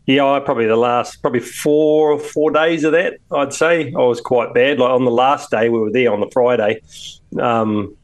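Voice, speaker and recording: A man's voice: medium pitch, steady tone, normal speed. NZ male podcast co-host, stuffy cold, laid-back and slangy. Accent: New Zealand. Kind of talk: casual chat.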